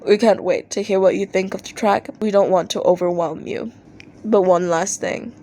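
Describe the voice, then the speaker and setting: A woman reading fan fiction aloud as an expressive amateur audiobook narrator, acting out posts in an animated way. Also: medium pitch, moderate pace.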